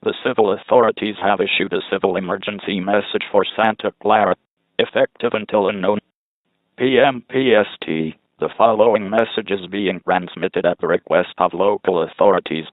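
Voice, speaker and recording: A man's voice: lowish voice, fairly even intonation, normal speed, no echo. A man reading a scripted emergency message as an announcer, formal, impersonal and synthetic, on a tinny broadcast. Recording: dry, tinny phone-like broadcast.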